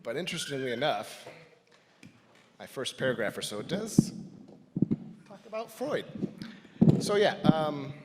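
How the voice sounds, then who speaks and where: Male church speaker, average pitch, informal and playful reflection, echoey hall sound. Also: very animated, a little slow, pauses often, very noisy. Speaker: church member giving a personal reflection. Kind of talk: informal prepared reflection to a congregation. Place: large indoor church space. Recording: echoey hall with long reverb.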